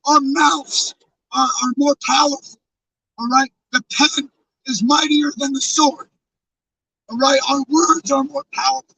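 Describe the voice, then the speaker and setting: A hoarse man losing his voice, talking casually and earnestly to his live-stream chat, rambling with fillers and restarts. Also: very high-pitched, level tone, measured pace, sharp tone, dry recording, distant mic, poor audio. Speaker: live streamer with a truck convoy. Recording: off-mic with faint background noise.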